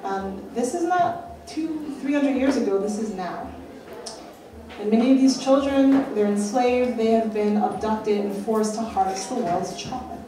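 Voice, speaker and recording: A woman's voice: a little high, unhurried, noisy background. Earnest young woman lecturing in a roomy hall. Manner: earnest, questioning, builds emphasis. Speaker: keynote speaker. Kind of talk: public lecture. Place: dark stage at a festival, indoors. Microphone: handheld mic on a stand. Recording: roomy hall from a far camera, audience.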